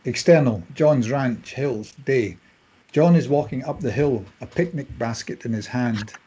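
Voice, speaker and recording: Husky, mellow man reading stage directions. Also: mid-range voice, a little slow, muffled recording. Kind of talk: scripted narration of screenplay directions.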